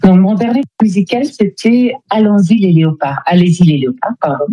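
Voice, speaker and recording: A woman's voice: medium pitch, brisk, warm tone, slightly rough, no echo. A woman speaking as a guest in a conversational radio interview, hesitantly searching for her words. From Democratic Republic of the Congo. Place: radio studio.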